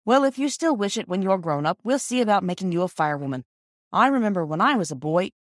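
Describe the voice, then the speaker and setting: A woman's voice: medium pitch, lively intonation, quick pace, no room sound, studio quality, natural voice. A woman voicing a jovial middle-class father from a children's storybook, hearty, encouraging and wry. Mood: cheerful.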